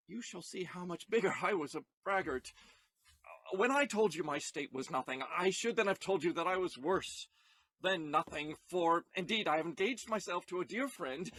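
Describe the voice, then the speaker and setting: A man acting a husky, swooping young gentleman lover. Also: high-pitched, no room sound, poor audio. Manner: theatrical, declamatory. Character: Bassanio, earnest young gentleman lover, distraught for his friend. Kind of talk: Shakespearean audio play acting.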